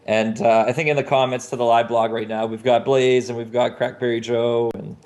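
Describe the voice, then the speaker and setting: A man's voice: mid-range voice, flat delivery, fairly quick, darkish tone, slight background noise. A man hosting a video podcast over a video call, talking in a chatty, informal way. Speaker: podcast host. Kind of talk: casual video podcast talk. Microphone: Skype video call.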